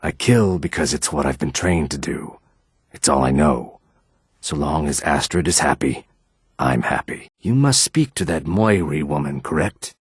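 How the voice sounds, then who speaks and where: A proud reptilian assassin from a fantasy video game. His voice sits extremely low, with a husky rasp, recorded dry and close. He speaks in a measured, sibilant, theatrical way, polite yet quietly menacing. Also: expressive, dry recording, heavily acted. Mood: calm, matter-of-fact. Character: calm, menacing male lizardfolk assassin, proud and courteous. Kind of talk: video game character dialogue.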